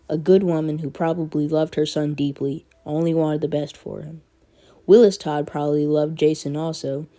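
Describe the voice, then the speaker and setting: A grim, brooding vigilante detective voiced by a woman narrates his inner thoughts from a comic book. The voice is very low and dark in tone. The delivery is terse, solemn and introspective.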